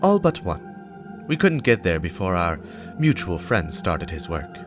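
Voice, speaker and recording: Hard-edged woman warlock, blunt investigator played by a male reader, lively pitch, music beneath. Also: unhurried. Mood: cool. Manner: blunt, challenging. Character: stern, hard-staring female warlock investigator.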